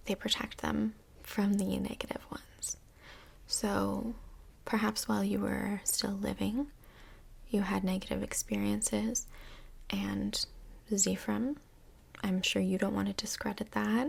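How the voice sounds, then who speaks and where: A woman acting as a calm female counsellor from Hell in a soft-spoken ASMR role play. Her voice is breathy and half-whispered, with heavy vocal fry. She picks her words gently and tactfully, pausing as she goes. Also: medium pitch, heavily acted. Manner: gentle, hesitant, diplomatic therapist talk.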